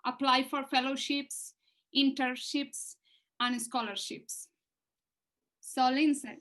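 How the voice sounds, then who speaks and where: Slow, bright-voiced woman panelist. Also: high voice, level tone. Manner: halting, simple phrasing. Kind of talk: panel talk over video call.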